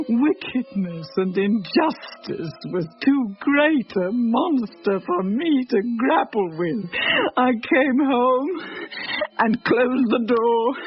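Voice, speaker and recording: An actress playing a starving, bitter village widow and mother, speaking a mournful, anguished monologue in a radio horror drama. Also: fairly high pitch. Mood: impassioned despair, then weary resignation. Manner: slow, mournful, anguished delivery. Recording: dull lo-fi radio drama recording.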